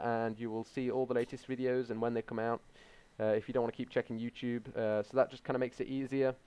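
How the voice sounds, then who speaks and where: A man's voice: medium pitch, a little mellow, clean tone. A young man hosting a casual tech podcast, talking hesitantly with many ums. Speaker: podcast host.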